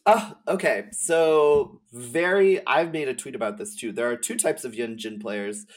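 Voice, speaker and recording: A man's voice: medium pitch, a little slow. Man, young adult, mid-range voice, casual chat, effusive, slangy, lots of "like". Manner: effusive, slangy, uses "like" heavily. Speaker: podcast guest, game streamer.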